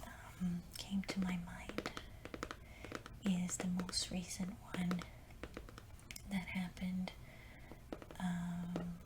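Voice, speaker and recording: A woman whispering softly in an ASMR video, telling a story with frequent ums. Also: fairly low pitch, completely flat delivery, extremely slow, pauses often, very noisy. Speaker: ASMR creator. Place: Los Angeles.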